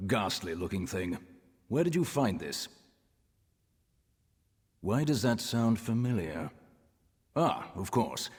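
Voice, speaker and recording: An actor playing a haughty, disdainful temple priest in a video game, speaking formally with a solemn, condescending manner. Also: male voice, fairly low pitch, husky, full character voice. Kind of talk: video game character acting. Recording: reverberant hall, faint music behind.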